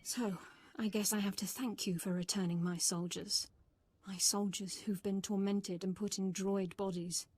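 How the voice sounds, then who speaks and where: An actress playing a weary, dutiful military officer in a video game, blunt and bitter but restrained. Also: female voice, mid-range voice, little pitch movement, normal speed, darkish tone, husky, no echo, close to an everyday voice.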